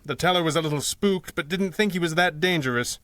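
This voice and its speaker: Male actor as dry-witted crimefighter for 1930s-style serial: forceful tone, precise deadpan delivery, close dry studio sound. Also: fairly high pitch, a little fast, no echo, lightly acted. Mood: dry, measured. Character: composed, dry-witted male masked hero. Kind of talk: 1930s-style radio drama acting.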